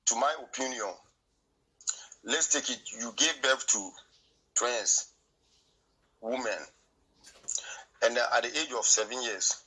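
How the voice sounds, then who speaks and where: A man from Ghana with a Ghanaian accent, Twi his first language, arguing his view in a heated debate, halting and repeating himself. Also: mid-range voice, pushed and bright, rough recording. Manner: repetitive, halting, argumentative. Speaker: guest.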